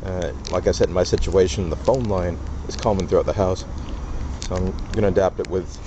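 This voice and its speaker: Low-voiced man in noisy lo-fi recording. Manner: halting, step-by-step explaining. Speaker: DIY presenter. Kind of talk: informal hands-on tutorial. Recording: dull lo-fi camera audio, loud noise.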